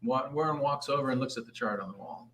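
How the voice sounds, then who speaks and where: A man's voice: mid-range voice, fast, fairly bright tone, rough recording. A man directing an informal table read and reading out the stage directions. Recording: faint background noise.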